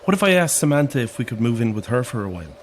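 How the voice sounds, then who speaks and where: A man's voice: medium pitch, big pitch swings, very fast, slight background noise, close to an everyday voice. An actor playing a lonely, weary Irish man in his thirties in a naturalistic audio horror drama, polite, hesitant and dry in manner. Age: 30s.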